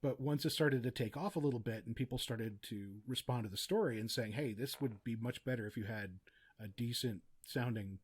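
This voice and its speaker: A man's voice: mid-range voice, a little fast, husky. A man speaking casually as a podcast guest, explaining audio production in chatty, meandering asides. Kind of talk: casual interview chat, giving practical advice.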